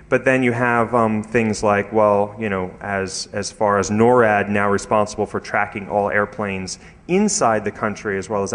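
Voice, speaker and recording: A man giving an informal technical conference talk with slides, speaking haltingly with frequent hesitations and repeated words. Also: fairly low pitch, a bit bright. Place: large indoor conference hall. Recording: echoey hall with long reverb.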